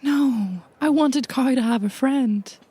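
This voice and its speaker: A woman bantering casually as a player in an improvised tabletop game, playful and deadpan. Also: high-pitched, slow pace, breathy edge. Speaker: player in a tabletop roleplay podcast.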